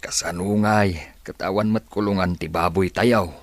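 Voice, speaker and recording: A man's voice: sits low, fairly even intonation, a little slow, no room sound. A man playing a grumbling, resigned, underpaid farm labourer and husband in a radio drama. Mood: hesitant.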